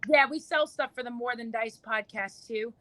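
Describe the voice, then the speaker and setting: A woman with a New York accent, a guest on a livestream, talking quickly and chatting freely. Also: a little high, bright, forceful tone, no room sound. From New York City. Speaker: guest, miniature painter. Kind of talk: casual livestream chat.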